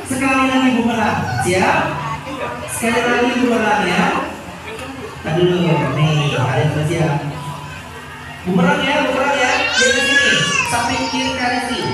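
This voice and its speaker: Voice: medium pitch, huge pitch swings, moderate pace, long pauses. Upbeat mc counting off one two three for group photos, far away in a big echoey aquarium hall, music and crowd noise. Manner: upbeat, counting out loud and giving cheerful instructions. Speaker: event MC at an aquarium. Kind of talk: MC directing a group photo session. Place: large indoor aquarium hall.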